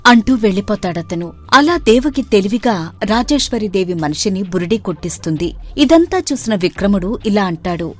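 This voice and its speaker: A woman giving steady, measured scripted narration for an animated moral story. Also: mid-range voice, soft background music.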